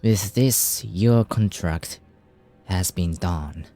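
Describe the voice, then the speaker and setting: Slow, deep-voiced man. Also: warm tone, soft background music. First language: Japanese. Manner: reads game text aloud and translates it, swears casually. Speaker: gaming streamer. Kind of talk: casual game playthrough commentary.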